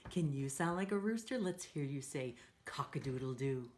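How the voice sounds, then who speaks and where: A woman's voice: very deep voice, moderate pace, a little hiss or hum. A woman hosting a read-aloud storytime for young children, speaking in a playful, encouraging way. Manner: animated, encouraging, asks listeners to join in; playful, acts out sound effects.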